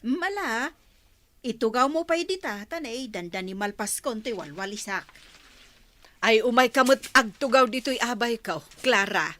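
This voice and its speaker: A woman's voice: fairly high pitch, expressive, moderate pace, pushed and bright. A woman playing a chatty, teasing village housewife in a conversational radio drama.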